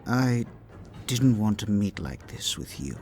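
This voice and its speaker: A man voicing a mysterious young man with a mature, calm voice. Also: on the low side, faint music behind, full character voice. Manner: calm and unhurried.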